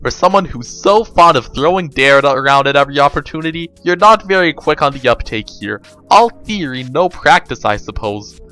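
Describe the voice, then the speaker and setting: A man's voice: a little high, lively intonation, moderate pace, hardly any pauses, pushed and bright, soft background music. A man voicing a smug, foul-mouthed girl with a taunting, sarcastic manner in a story reading. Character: smug, triumphant, foul-mouthed girl who outwits others.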